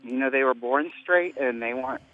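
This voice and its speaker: A man calling in to a radio debate, answering in a halting, hesitant way with many restarts. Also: medium pitch. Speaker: caller. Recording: tinny phone line.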